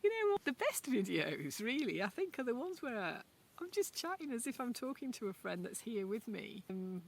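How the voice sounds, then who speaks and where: A woman's voice: on the high side, sing-song, normal speed. Musing Yorkshire woman talking outdoors. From Yorkshire, England. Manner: reflective, musing, loosely rambling with hesitations. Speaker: vlogger. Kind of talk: casual unscripted talk to camera. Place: outdoors in a forest garden.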